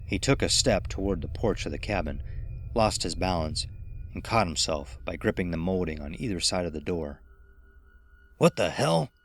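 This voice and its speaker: A man's voice: deep voice, normal speed, faint music behind. A man reading a horror story aloud as a dramatic, suspenseful narrator.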